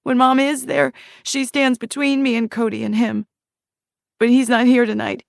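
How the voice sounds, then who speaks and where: A frightened young woman's voice, pitched high like the small girl she once was, as a woman narrator puts her on. She recalls in breathless, trembling phrases, with a gravelly edge and a dry, close sound. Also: level tone, moderate pace, dry recording. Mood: terrified.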